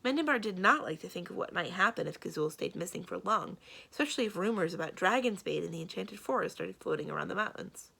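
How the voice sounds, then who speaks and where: A woman reads aloud for children in an expressive narrating style. Her pitch moves in a lively way, and her voice has a husky, slightly creaky grain. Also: fast talker, hardly any pauses. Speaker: library storyteller reading aloud.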